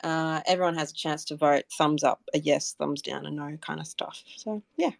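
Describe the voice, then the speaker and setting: Woman w low creaky voice, casual interview answers, kinda hesitant, dry sound. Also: steady tone, moderate pace, dry recording. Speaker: community project representative being interviewed. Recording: online audio chat room.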